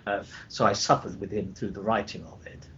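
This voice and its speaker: Low-voiced older man on a noisy line. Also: fairly even intonation, a little fast, a bit bright. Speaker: publisher and panel guest. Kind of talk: casual panel conversation. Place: live convention recording over internet call. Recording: dull, noisy, rumbling internet call.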